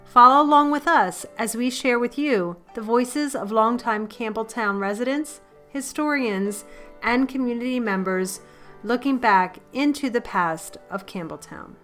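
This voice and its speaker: A woman's voice: a little high, faint music behind. Woman narrating a local documentary. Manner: steady, measured reading.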